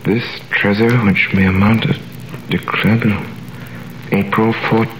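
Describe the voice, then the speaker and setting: A young earnest prisoner, low voice. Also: male voice, flat delivery, a little slow, a bit bright, poor audio. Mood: amazed, earnest. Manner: earnest, dramatic delivery. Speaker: narrator. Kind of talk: 1930s radio drama acting. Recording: old radio recording with faint hiss.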